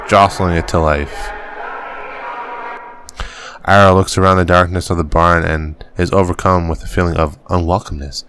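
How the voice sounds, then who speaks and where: Deep-voiced man narrating a game. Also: background noise. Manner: halting reading, stumbles over words and laughs it off. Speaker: gaming channel host. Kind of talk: reading game text aloud as narration.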